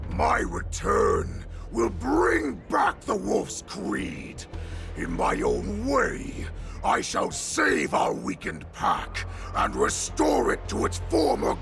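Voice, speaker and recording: A man's voice: mid-range voice, gravelly, exaggerated voice. An actor playing a menacing, bloodthirsty male wolf warlord who snarls threats and chants a battle creed in a game cutscene. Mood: proud. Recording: game audio with music behind.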